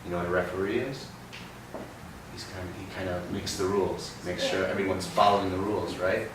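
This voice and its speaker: A young man reading a picture book aloud to a child, pausing often to ask gentle questions about the words. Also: sits low, crowd noise. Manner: expressive storybook reading broken by gentle teaching questions. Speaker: read-aloud host. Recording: roomy, distant mic with background noise.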